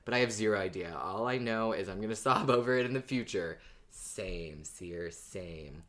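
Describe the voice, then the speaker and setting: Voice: low voice, normal speed, a bit bright. A podcast co-host chatting casually about fan theories, thinking aloud and reading out listener comments.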